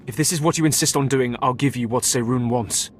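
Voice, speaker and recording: A calm, regretful academy keeper, voiced by a man, measured and somber in mid pitch. A faint low rumble sits under his game dialogue. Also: close to an everyday voice. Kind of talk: video game voice acting.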